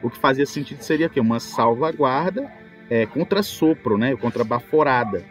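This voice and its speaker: Brazilian male, medium pitch, conversational podcaster who asks rhetorical questions, clear music bed underneath. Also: lively intonation, normal speed. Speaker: tabletop role-player, podcast speaker. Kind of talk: casual podcast chat.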